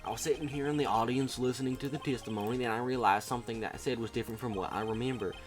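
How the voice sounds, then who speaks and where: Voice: medium pitch, steady tone, racing, soft background music, natural voice. A streamer voicing a frantic, earnest, goofy young man bursting to testify in a courtroom game.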